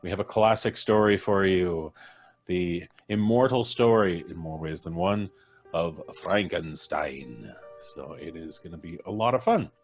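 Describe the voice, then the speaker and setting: Male host, North American, low register. Jovial, casual, playful live-stream banter over faint music. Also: unhurried.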